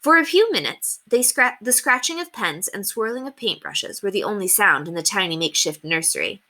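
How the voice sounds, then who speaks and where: A woman reading a children's novel aloud as an expressive storyteller. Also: medium pitch, fairly quick. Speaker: read-aloud storyteller at an elementary school.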